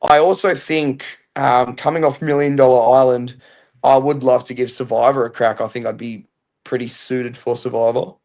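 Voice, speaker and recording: A young man with a loud, mid-pitched voice talks as a guest on a podcast. He is upbeat and chatty and often starts with "I feel like.". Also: steady tone, moderate pace. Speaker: reality show contestant, guest. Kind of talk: casual podcast interview.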